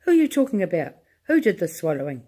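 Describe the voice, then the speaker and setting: Brief: blustering male head of state, shouty and demanding, read by a woman. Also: medium pitch, animated, moderate pace, natural voice. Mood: alarmed. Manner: shouty, bossy. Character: blustering excitable male president.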